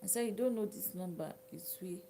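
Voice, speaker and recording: A Nigerian woman whose native language is Yoruba, chatting casually with viewers on a live stream, sometimes dropping to a soft whisper. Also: mid-range voice, a little fast, vocal fry, a little hiss or hum. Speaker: livestream host. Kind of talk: casual live chat reading comments.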